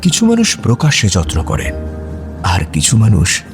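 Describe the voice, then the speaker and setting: A man narrating a scripted romantic audio story in a reflective, conversational and expressive way. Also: lowish voice, moderate pace, over music.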